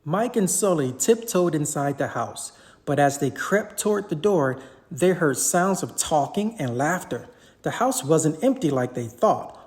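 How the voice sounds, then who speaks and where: Animated storybook reader with lively pitch. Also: measured pace. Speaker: parent reading aloud to a child. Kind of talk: animated children's storybook narration.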